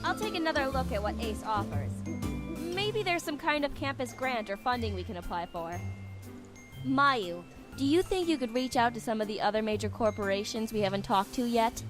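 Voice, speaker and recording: A woman's voice: a little high, lively intonation, normal speed, lightly acted. A woman playing a blunt, businesslike young female team leader in a game, speaking in a dry, matter-of-fact way. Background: game music.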